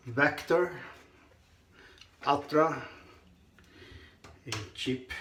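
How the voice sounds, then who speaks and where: Hoarse man in an echoey bathroom. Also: mid-range voice. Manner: slow, halting, with frequent throat clearing. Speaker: shaving vlogger. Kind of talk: casual chat while shaving. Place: small tiled bathroom, indoors. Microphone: camera on the counter at arm's length. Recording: echoey tiled bathroom, faint noise.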